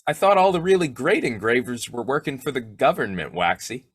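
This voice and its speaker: A man's voice: mid-range voice, very animated, a little fast, husky, no room sound. A man acting a snoopy, folksy husband who cracks slangy jokes in an old-time radio comedy. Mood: jokey. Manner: folksy, jokey, slangy delivery.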